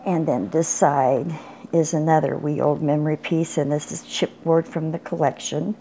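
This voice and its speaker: An older woman showing off a handmade craft project in an unhurried, plain way, with small pauses and restarts. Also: sits low, fairly even intonation, warm tone, a little hiss or hum, low-quality recording. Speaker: crafter showing her work. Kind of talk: casual show-and-tell. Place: indoors at a table, bedroom. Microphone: phone camera filming from above.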